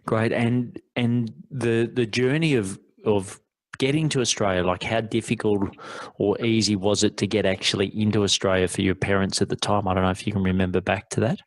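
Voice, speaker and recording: A man's voice: fairly low pitch, steady tone, fairly quick, no echo. A man hosting a podcast interview, asking friendly and encouraging questions. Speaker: podcast host. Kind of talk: casual interview.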